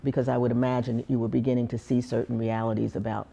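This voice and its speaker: A woman interviewing an artist, asking questions in a measured, deliberate way. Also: very deep voice, level tone, gravelly, dark tone, highs cut off. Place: art gallery.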